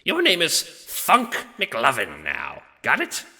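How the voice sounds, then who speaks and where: Gruff, growling, weary skeletal Death, swooping pitch. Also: male voice, wildly swooping pitch, takes it slowly, pushed and bright, in a large hall, heavily acted. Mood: weary, blunt. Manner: blunt, dismissive, swearing. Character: huge gruff skeletal Death, bored, weary, crude, out of touch. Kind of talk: audio drama character acting.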